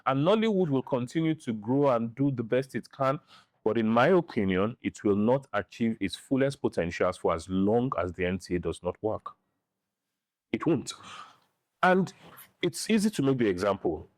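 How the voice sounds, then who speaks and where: A middle-aged man with a Nigerian accent giving opinions in a podcast interview, speaking deliberately and repeating phrases for emphasis. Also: mid-range voice, lively intonation, no room sound, studio quality. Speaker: interview guest, film director and writer.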